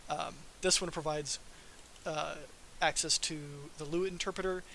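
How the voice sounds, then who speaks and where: Male software presenter, forceful bright tone, informal and self-deprecating, faint hiss on the recording. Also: on the high side, fairly even intonation, unhurried, gravelly. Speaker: software developer presenting a live demo. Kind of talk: informal technical livestream presentation.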